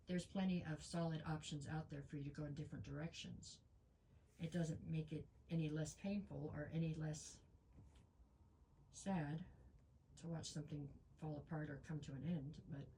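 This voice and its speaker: Woman tarot reader, low-pitched, hoarse, stuffy nose, chatty with lots of ums, faint hiss behind. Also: dark tone, rough recording. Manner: chatty, frequent ums, talks straight to viewers. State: losing her voice, stuffy nose. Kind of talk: casual tarot reading.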